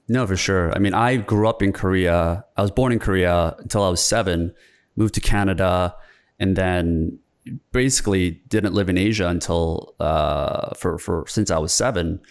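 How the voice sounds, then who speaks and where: A man hosting a casual interview, asking questions in a relaxed, conversational way. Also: low-pitched, normal speed. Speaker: podcast host.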